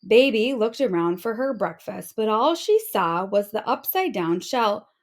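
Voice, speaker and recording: A woman narrating a children's storybook aloud in an expressive storytelling manner. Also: mid-range voice, moderate pace. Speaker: storybook reader.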